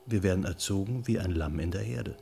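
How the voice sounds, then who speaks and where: Brisk, low-voiced investigator. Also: male voice, creaky, mellow, slight background noise. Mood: cynical. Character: probing male investigator, cynical and matter-of-fact. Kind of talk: radio drama dialogue.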